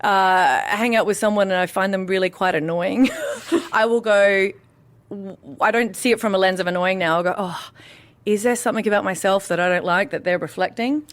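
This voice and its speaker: A woman in candid conversation, telling stories in an animated way and acting out remembered dialogue. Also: mid-range voice, moderate pace.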